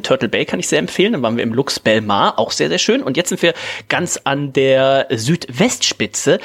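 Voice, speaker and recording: A man co-hosting a podcast, chatting casually and telling stories in a lively way. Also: medium pitch, breathy edge. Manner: chatty, storytelling. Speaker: podcast co-host. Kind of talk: casual chat. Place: hotel room abroad.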